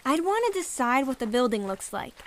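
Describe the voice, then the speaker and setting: A woman's voice: sits high, big pitch swings, fairly quick. A woman playing a curious, dreamy young girl adventurer in an audio drama, with noise behind her.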